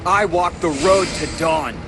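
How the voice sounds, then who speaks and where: An actor playing a brave young hero in a video game, speaking with earnest determination. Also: male voice, on the high side, a little slow, music in the background, close to an everyday voice. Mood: defiant. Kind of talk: video game cutscene acting.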